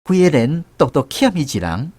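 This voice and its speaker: An actor playing a young soldier with a Taiwanese accent, narrating memories of army days in a radio drama. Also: male voice, fairly high pitch, very animated, normal speed, a little mellow, slightly rough. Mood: recounting.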